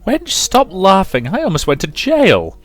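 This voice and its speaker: A man voicing an insecure, affectionate, tipsy young woman who speaks in a halting, earnest, confiding way in a visual novel. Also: high-pitched, huge pitch swings, faint music behind, pitched above the performer's own voice, heavily acted. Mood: playful.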